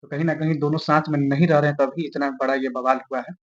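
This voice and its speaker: A man's voice: a little high, steady tone, quick pace, highs cut off. A man with a Bihari accent answering interview questions informally, making his points emphatically and repeating them. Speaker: interview guest commenting on film-star news.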